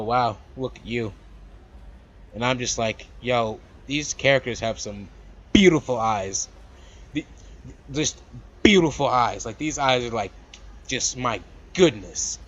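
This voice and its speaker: A man hosting a let's play, reading a visual novel aloud with animated, slangy casual asides. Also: mid-range voice, muffled recording. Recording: loud background music. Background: game music.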